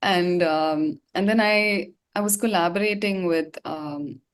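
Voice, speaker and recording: A woman with an Indian English accent telling a personal story reflectively in an interview, often pausing and repeating words as she searches for the right one. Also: on the low side, clean tone. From India (Gangtok, Sikkim). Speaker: singer, interview guest.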